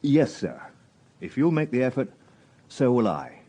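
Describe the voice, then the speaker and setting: A man's voice: medium pitch, very animated, measured pace, dark tone. An actor playing a mild, dutiful police inspector and family man with a British accent, polite and a little hesitant, in a radio drama. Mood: guarded. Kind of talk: radio drama dialogue and first-person narration.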